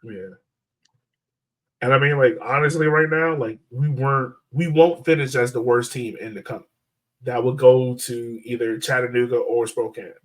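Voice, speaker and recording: A man's voice: medium pitch, fairly even intonation, moderate pace, rough recording. A man hosting a sports podcast in casual, conversational soccer chat.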